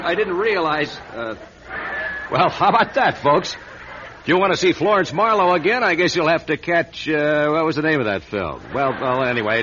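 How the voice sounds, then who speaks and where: A vain American talk-show star with showy, mock-sincere patter. His voice is bright and forceful, with lively swoops. The radio drama recording is a little dull. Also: fairly high pitch, slight background noise. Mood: flustered. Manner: showy, glib, mock-sincere, stammering asides.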